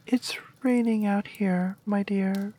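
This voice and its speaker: A woman voicing a wicked queen disguised as an old woman, speaking in a squeaky, whispered voice. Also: steady tone, slow, mellow, a little hiss or hum, close to an everyday voice. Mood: pleading.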